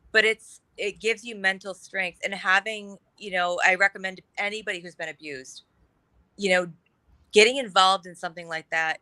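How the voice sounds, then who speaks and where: A middle-aged woman talks candidly on a talk show, her voice bright and pushing forward. She strings her thoughts into long, emphatic runs. The sound is dry, with no room around it. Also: medium pitch, no room sound. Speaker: guest. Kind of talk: casual conversation on a talk show.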